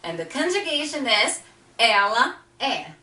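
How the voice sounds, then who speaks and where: A woman from Brazil with a Brazilian accent, patiently teaching a language lesson and repeating words slowly. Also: a little high, big pitch swings, pushed and bright. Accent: Brazilian Portuguese. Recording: echoey room, mic at a distance.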